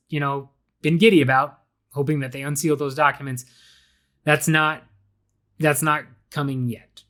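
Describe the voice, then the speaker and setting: A young man talks through a solo podcast episode in a loose, chatty way, often stopping and restarting his sentences. His voice sits a little higher than most men's and carries a slight rasp from a recent illness. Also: pushed and bright. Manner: casual, chatty, frequent fillers and restarts. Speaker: podcast host.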